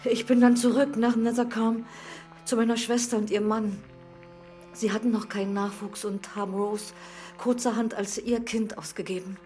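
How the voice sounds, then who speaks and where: A woman playing a sorrowful, discreet older widowed housekeeper in a radio drama, speaking hesitantly and deliberately. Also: fairly high pitch, level tone, mellow, soft background music, natural voice. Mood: sorrowful confession.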